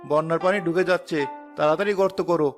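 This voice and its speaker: An earnest cartoon villager, played by a man speaks quickly over music. He comes across as a humble, devout working man. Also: on the high side, steady tone, natural voice. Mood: urgent. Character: poor, devout, hardworking male villager. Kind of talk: animated moral story voice acting.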